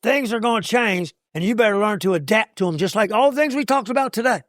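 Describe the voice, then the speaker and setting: Raspy, high-voiced coach preaching grit. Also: male voice, lively intonation, fast talker, dry recording, clean studio sound. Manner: punchy, preachy motivational talk with sudden loud bursts. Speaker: podcast co-host, coach. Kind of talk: casual podcast chat.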